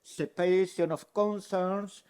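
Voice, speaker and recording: A man's voice: sits high, steady tone, a little slow, a little mellow, clean tone. A man from Spain with a mixed Spanish and French accent, earnestly explaining as a conference speaker. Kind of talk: conference talk. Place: conference hall.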